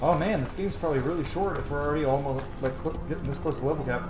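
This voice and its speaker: A man's voice: fairly high pitch, rapid-fire, background noise. A man giving casual, rambling let's-play commentary with slangy, sweary asides. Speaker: gamer commentating on own playthrough. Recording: roomy echo under loud game music. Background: loud video game music.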